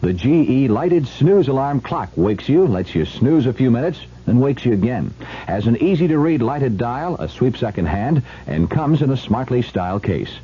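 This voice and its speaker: Animated, mellow male radio announcer. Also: medium pitch, normal speed, breathy edge. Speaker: commercial announcer. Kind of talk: scripted 1970s radio advert. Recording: muffled, hissy old radio recording.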